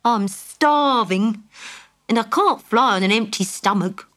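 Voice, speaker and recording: A woman doing a slow, unflustered talking piggy bank. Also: medium pitch, a bit bright, lightly acted. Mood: impatient. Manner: slow, unflustered, a little cross. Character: calm, matter-of-fact male flying piggy bank.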